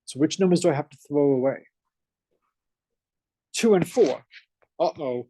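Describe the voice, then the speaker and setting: A male professor with a Boston accent teaching a math class, energetic and full of questions for his students. His voice is mellow and dark, recorded dry and close with little room sound. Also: on the high side, measured pace, no room sound. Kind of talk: classroom lecture. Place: lecture classroom.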